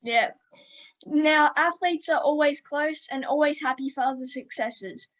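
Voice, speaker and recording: Woman podcast host, high voice, upbeat and friendly, casual questions. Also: a little slow, a bit bright. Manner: upbeat, friendly, calls guest mate. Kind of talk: interview questions.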